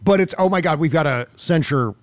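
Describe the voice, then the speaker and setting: A man's voice: a little high, a little fast. A man hosting a podcast, talking casually and emphatically about politics, opinionated and given to swearing. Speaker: podcast host.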